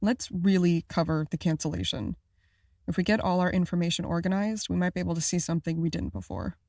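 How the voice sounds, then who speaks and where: A woman playing a dry, skeptical podcast producer and sidekick, deadpan and blunt, in a naturalistic audio drama. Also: on the low side, fast talker, creaky, dry recording. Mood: wry, practical.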